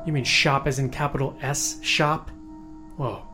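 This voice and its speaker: A man's voice: medium pitch, steady tone, unhurried, close to an everyday voice. Man acting a shrewd, wry interrogator over music. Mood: wry, probing. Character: shrewd, wry male interrogator with psychic gift. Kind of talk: audio drama acting.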